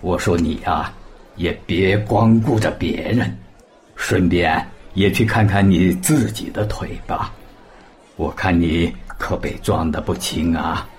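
A man's voice: deep voice, takes it slowly. A man acting a kindly, concerned older bystander in a Chinese radio drama, in an avuncular way. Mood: admiring, concerned.

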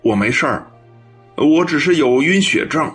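男演员饰演的语调起伏很大的慌张大学生. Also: male voice, fairly high pitch, lively intonation, moderate pace, a bit bright, faint music behind. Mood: bewildered. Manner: stammering, pleading. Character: flustered, earnest, apologetic male university student. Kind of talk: audio drama acting.

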